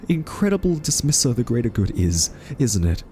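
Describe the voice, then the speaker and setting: A man playing an earnest, quirky scientist in a roleplay audio drama, soft-spoken, hesitant and argumentative as a thoughtful captive. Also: medium pitch, sing-song, normal speed, slight background noise, lightly acted. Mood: skeptical, reproachful.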